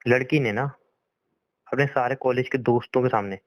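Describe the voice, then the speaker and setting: A man's voice: medium pitch, steady tone, moderate pace, no room sound. A young man arguing in a private phone conversation, insistent and pleading, often repeating himself. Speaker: boyfriend on a phone call.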